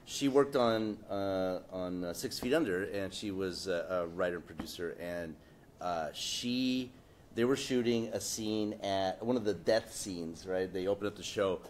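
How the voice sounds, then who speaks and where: Low-voiced man telling a rambling story. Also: unhurried, a little mellow. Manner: rambling, many fillers, rising indignation. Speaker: panelist. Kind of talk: casual anecdotal storytelling.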